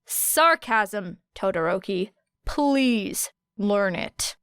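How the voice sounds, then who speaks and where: A woman voicing a guarded, sarcastic teenage girl with a dry, deadpan manner in an audiobook. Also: medium pitch, very slow, smooth, no room sound. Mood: defensive, sarcastic. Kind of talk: audiobook character voice.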